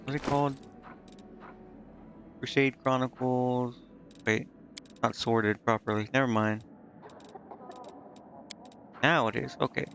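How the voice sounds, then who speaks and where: A man's voice: medium pitch, fairly even intonation, slow pace, creaky, long pauses, a bit bright. Man muttering through game commentary over music. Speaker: game streamer. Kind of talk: casual live gameplay commentary, thinking aloud.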